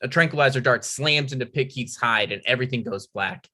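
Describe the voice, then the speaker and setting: A man with a bright, forward voice hosts a comedy table read. He narrates screen directions and talks informally to introduce the material. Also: mid-range voice, fairly quick. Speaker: table read host, stage-direction reader and performer.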